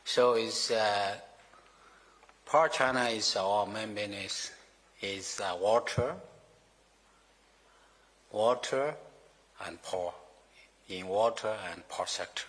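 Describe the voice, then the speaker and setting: A man giving formal remarks as a company executive in halting English, full of fillers and grammar slips. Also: lowish voice, a bit bright. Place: formal meeting room. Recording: reverberant meeting hall.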